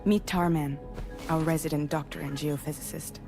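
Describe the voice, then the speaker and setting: A composed female commander in a video game cutscene. Her voice is low, welcoming and resolute as she guides a newcomer, with a prominent score behind her. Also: fairly even intonation, moderate pace, a little mellow, faint music behind. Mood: composed, explanatory. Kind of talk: cinematic video game acting.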